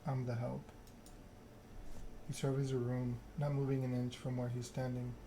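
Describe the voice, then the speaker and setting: A man's voice: mid-range voice, monotone, brisk, dark tone. A man reading a story game aloud in an ASMR narration style. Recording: clear background ambience noise.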